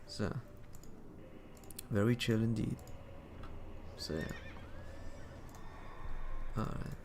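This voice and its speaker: A man's voice: fairly low pitch, very slow, gravelly, background noise. A man game streamer giving casual, rambling commentary, often muttering asides to himself as he plays. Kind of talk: casual solo commentary while gaming.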